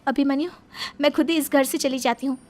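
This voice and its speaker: Woman as a very high-voiced wronged young wife. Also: steady tone, brisk, a little mellow, soft background music, close to an everyday voice. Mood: hurt, resigned. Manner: earnest, pleading, protesting. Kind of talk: Hindi cartoon story voice acting.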